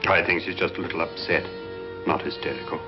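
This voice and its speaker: An actor in a 1950s horror film playing a calm, reasonable doctor with a measured, matter-of-fact manner. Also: male voice, extremely low pitch. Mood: calm, reasoning. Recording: dull old film soundtrack with music.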